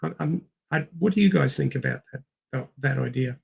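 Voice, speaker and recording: A middle-aged man talks casually about film as a podcast co-host. His voice has a noticeable creak at an ordinary male pitch, and he explains things point by point, leaning on repeated words. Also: fairly quick, a little mellow.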